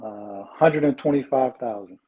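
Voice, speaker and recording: A man's voice: medium pitch, normal speed, husky. A man answering as a guest in a casual conversation, agreeable and brief, on dull lo-fi audio. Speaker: guest, small business owner being advised.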